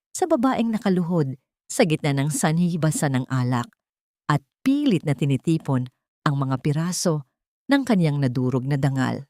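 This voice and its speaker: Female Filipino storyteller, lower register, dry close studio sound, dramatic scripted narration. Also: a little mellow, no room sound.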